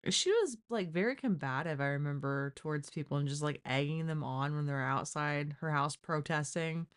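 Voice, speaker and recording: A woman hosting a casual live true-crime stream, talking informally and giving her opinions. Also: deep voice. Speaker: live stream host commentator.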